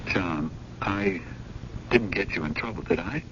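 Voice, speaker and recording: A man playing a casual, concerned friend in a radio drama, low-key and familiar. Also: a little slow. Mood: quiet, concerned. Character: casual, concerned male friend, small-time hustler. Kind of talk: 1980 radio drama acting. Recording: dull, faintly noisy old radio broadcast.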